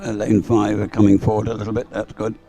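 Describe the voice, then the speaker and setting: A man's voice: fairly low pitch, completely flat delivery, fast, warm tone, soft background music. A man giving live race commentary in a rambling, hesitant way, as if thinking aloud.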